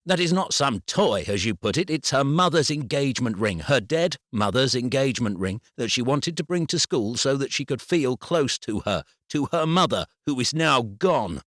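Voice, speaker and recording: A man voicing an angry father who argues in a heated, forceful way. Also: medium pitch, very animated, moderate pace, few pauses, dry recording, studio quality, voice pushed up. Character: indignant father, loud and angry.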